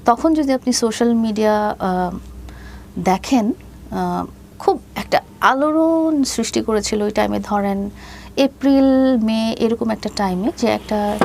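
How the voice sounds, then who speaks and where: A woman giving a personal account as an interview guest, pausing often and mixing in many English words. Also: on the high side, creaky, a little mellow, slight background noise. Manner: hesitant pacing, heavy mixing of English words.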